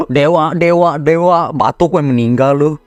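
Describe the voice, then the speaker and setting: A man's voice: fairly high pitch, unhurried, dry recording. An Indonesian man giving casual, joking commentary as he plays a game. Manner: informal, joking. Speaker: gaming streamer.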